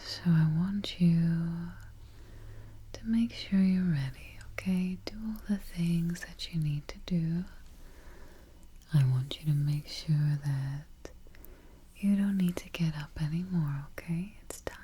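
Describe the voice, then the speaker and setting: A woman whispering softly and breathily in a gentle, soothing manner, guiding a sleep relaxation as an ASMR host up close to the microphone. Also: lowish voice, slight background noise. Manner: slow, gentle, soothing, repeats soft check-ins.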